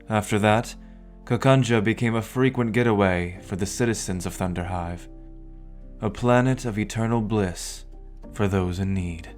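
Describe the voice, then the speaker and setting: A man reads out a story's ending as narrator. His voice sits a little low, and gentle music plays underneath. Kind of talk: scripted story epilogue narration.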